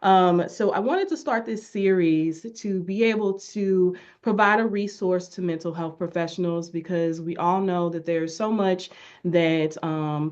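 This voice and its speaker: A woman's voice: on the low side, a little mellow, muffled recording. A woman hosting a casual interview on a video call, rambling and self-correcting with plenty of fillers. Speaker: podcast host and business owner.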